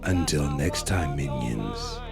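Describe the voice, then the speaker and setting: A man voicing a sinister, menacing host of a dark realm in a theatrical horror outro. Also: extremely low pitch, lively intonation, gravelly, loud music behind the voice, full character voice. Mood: gleefully menacing. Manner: slow, ominous, theatrical. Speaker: host signing off.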